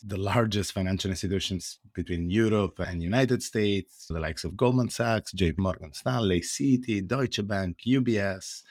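A man's voice: fairly low pitch, darkish tone, no room sound, clean studio sound. A man with an Italian accent, a podcast guest explaining his work at length in an earnest way, with frequent hesitations and fillers. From Italy. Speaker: guest, open source foundation director. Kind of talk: podcast interview answers.

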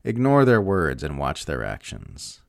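A man with a very low, gravelly voice reads political commentary aloud. His delivery is emphatic and sardonic, moving widely in pitch, and the recording is close and dry. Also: wildly swooping pitch, measured pace, no room sound. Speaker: narrator reading political commentary. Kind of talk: scripted opinion essay read aloud.

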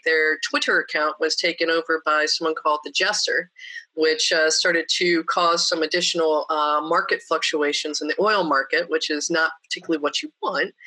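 A woman with a somewhat deep voice tells her story as a podcast guest. Her tone is bright and forceful. She is lively and anecdotal, and she mimics the people she quotes. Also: rough recording. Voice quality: deeper voice.

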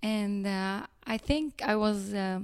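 A middle-aged woman from Lebanon with a Lebanese accent, chatting as a guest about her business, speaking haltingly with fillers and restarts. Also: mid-range voice, clean tone. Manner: halting, many fillers and restarts, nonstandard grammar. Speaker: guest, chocolate business owner. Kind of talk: casual interview chat.

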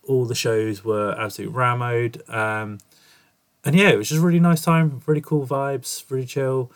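A man's voice: mid-range voice, steady tone, normal speed. Congested, nasal young man. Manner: chatty, swears casually. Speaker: podcast host. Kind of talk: casual podcast intro monologue.

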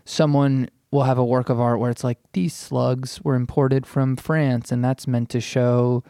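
Opinionated man with a mid-pitched voice. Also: level tone, measured pace, mellow, clear, resonant tone, no echo. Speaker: podcast panelist, art critic. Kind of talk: casual panel discussion.

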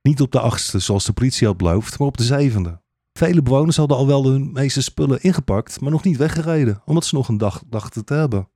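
A man's voice: medium pitch, expressive, fairly quick, warm tone, dry recording, clean studio sound. A man narrating a satirical fairy-tale radio play as a storyteller.

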